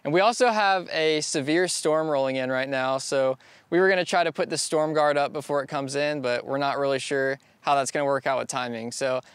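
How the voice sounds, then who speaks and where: A man's voice: a little high, brisk, fairly bright tone, clear, resonant tone, dry recording. A young man in his twenties, chatting casually outdoors on a rural property as a DIY vlogger, easygoing and laughing often. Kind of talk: casual DIY vlog talk. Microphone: lapel or on-camera mic.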